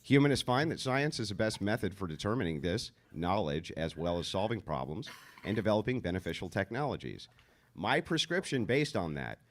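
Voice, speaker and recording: A man's voice: fairly low pitch, very animated, a little fast. A man delivering a sardonic, emphatic debate argument. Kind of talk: debate speech played back.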